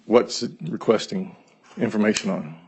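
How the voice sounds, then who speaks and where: A man's voice: on the low side, normal speed, darkish tone, slight background noise. A man arguing his case in a courtroom and questioning a witness, insistent as he explains. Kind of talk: courtroom argument.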